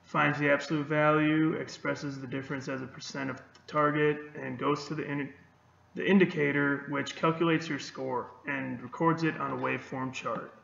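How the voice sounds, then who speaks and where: A man's voice: fairly high pitch, flat delivery, normal speed, vocal fry, fairly bright tone. A young man narrating a technical demonstration of a student project. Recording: dull, roomy, faint noise.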